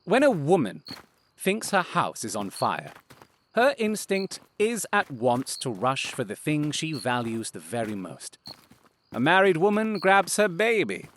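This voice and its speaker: A man's voice: on the high side, wildly swooping pitch, unhurried, fairly bright tone, a little hiss or hum, heavily acted. An actor playing a confident, theatrical detective in an audio drama, precise and self-satisfied in how he speaks. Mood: self-assured, explanatory.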